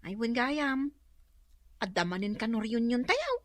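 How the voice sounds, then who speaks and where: A woman's voice: a little high, big pitch swings, a little slow, dry recording. A woman playing an eager, teasing younger woman in an Ilocano comedy radio drama, coaxing, with an Ilocano accent.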